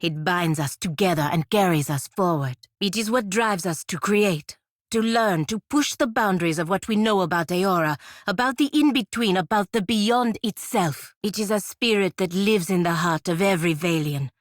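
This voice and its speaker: A woman's voice: medium pitch, normal speed, no echo, studio quality, close to an everyday voice. An actress playing a proud, stern patriot warrior woman in a fantasy video game, speaking formally and earnestly. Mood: earnest, devoted. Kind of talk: scripted video game character dialogue.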